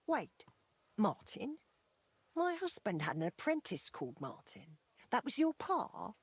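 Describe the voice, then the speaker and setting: An actress playing a kindly, wistful older widow and plain-spoken townswoman with a husky voice in a video game. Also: medium pitch, huge pitch swings, a little slow, no room sound, full character voice. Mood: surprised, wistful. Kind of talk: video game dialogue acting.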